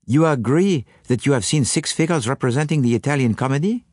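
A man voicing a self-assured, courteous and exacting detective in an audiobook, with a pompous, deliberate manner. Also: medium pitch, animated, a little mellow, no echo, clean studio sound, pitched above the performer's own voice, lightly acted. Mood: commanding, courteous. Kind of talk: audiobook character voice.

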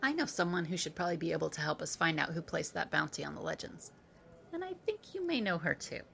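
A woman playing a brisk, take-charge leader of a fugitive team in an audio drama, with a creaky voice. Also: low voice, big pitch swings, fairly bright tone, slight background noise, full character voice. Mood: earnest.

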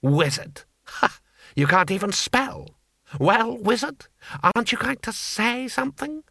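A man voicing a cold, cruel, scheming male imperial lord in an audiobook, with a slow, haughty drawl. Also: very high-pitched, sing-song, vocal fry, sharp tone, no echo, studio quality, pitched above the performer's own voice, exaggerated voice. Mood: menacing. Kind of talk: audiobook character voice.

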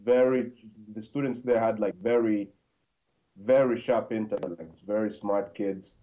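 A man's voice: lowish voice, fairly even intonation. Congolese man on a tinny line. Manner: halting, frequent fillers and restarts. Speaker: podcast guest. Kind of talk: conversational interview.

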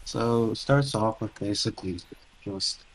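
Lowish-voiced man chatting casually on podcast. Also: a little slow, slight background noise, rough recording. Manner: loose, self-correcting, piecemeal phrasing. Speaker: podcast co-host. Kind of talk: casual, unscripted gaming podcast chat.

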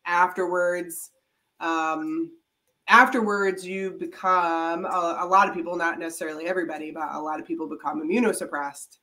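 Podcast co-host, woman with a lower voice, congested from a head cold, relaxed and chatty. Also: normal speed. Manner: chatty, laughs often, many fillers. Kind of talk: casual chat.

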